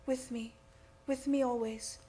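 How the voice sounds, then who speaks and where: An actress playing a troubled young woman under a vampire's spell in a radio drama, dreamy and halting. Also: fairly high pitch, steady tone, slightly rough. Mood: entranced. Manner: hushed, halting, trancelike. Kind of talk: old-time radio drama acting.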